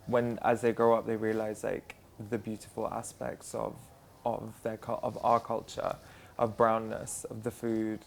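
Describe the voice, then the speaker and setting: A young man talks casually in a conversation. His voice stays in a fairly flat, narrow pitch band. He fills his sentences with "like" and "um". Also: measured pace, vocal fry, slight background noise. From South London, England. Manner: chatty, full of "like" and "um". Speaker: musician guest.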